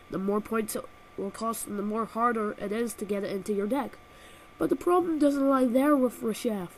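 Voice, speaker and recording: A woman's voice: fairly high pitch, a little mellow, a little hiss or hum, poor audio. A woman with a cold and a nasal voice, reviewing a video game with sarcastic, exaggerated comic rants, recorded on a built-in laptop microphone. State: has a cold.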